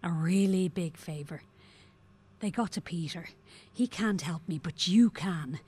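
Radio drama role: cunning woman convict, breathy husky mid-range voice, measured delivery, persuasive and commanding. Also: on the low side, warm tone. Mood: confiding, coaxing. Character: shrewd, persuasive condemned woman, older sister-like, urgent schemer. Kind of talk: naturalistic radio drama acting.

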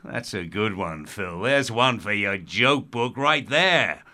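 A sardonic male radio presenter in a dark comedy drama. His voice is bright and forceful and swings widely in pitch, with a theatrical, condescending edge. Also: animated, slow, heavily acted. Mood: exasperated, sarcastic. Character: pompous, sarcastic male radio presenter in a dystopia. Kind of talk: darkly comic audio drama acting.

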